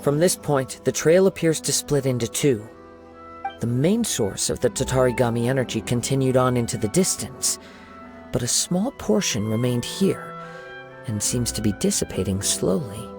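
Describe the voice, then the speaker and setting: Guy voicing a chill young samurai in a game, soft, steady, a bit gravelly. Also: mid-range voice, normal speed, faint music behind, close to an everyday voice. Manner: soft-spoken, composed and reassuring. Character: calm young male wandering samurai. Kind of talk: video game voice acting.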